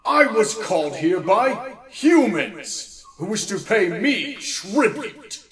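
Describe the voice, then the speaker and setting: A grandiose vampire lord speaks with pompous contempt. The voice is fairly high, drawn out, and rings in a distant, echoey hall. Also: male voice, sing-song, slow pace, fairly bright tone, exaggerated voice. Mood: haughty. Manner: pompous, theatrical.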